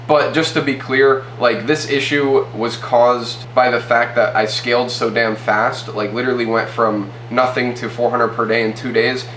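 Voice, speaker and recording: A man's voice: medium pitch, fairly bright tone. A young man in his twenties with a stuffy nose gives a casual, loose update to the camera from a small room. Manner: fast, loose, filler-heavy talk. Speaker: e-commerce YouTuber. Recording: roomy with faint hum.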